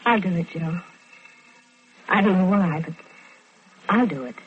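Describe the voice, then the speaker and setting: An actress playing a hurt but yielding American ex-wife in a vintage radio drama. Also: female voice, on the low side, measured pace, husky. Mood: yielding, uneasy. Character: hurt, yielding ex-wife and mother, still soft-hearted. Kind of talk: 1940s-50s radio drama acting. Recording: dull lo-fi old radio recording.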